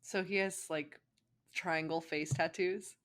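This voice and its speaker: A woman reading fan fiction aloud in expressive narration, breaking off for casual comments. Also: sits low, slow pace.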